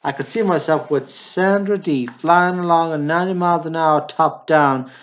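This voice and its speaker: A man acting a nervous, awkward small-town man in a radio drama, speaking in an earnest, pleading, excitable way. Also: fairly high pitch, normal speed, natural voice. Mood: wistful, worked up. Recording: dull lo-fi recording.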